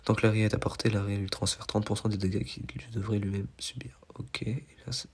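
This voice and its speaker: A young man with a cold, nasal and stuffy, giving excitable, slangy commentary over his own gameplay and poking fun at his mistakes. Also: fairly low pitch, brisk, breathy edge. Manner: excitable, self-mocking, slangy. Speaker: gaming YouTuber.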